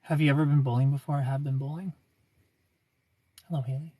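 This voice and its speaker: A man's voice: mid-range voice, steady tone, brisk, dark tone, no echo. Laid-back man chatting on a livestream. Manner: smooth, laid-back, greets viewers by name. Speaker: livestream host. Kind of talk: casual livestream chat reading comments.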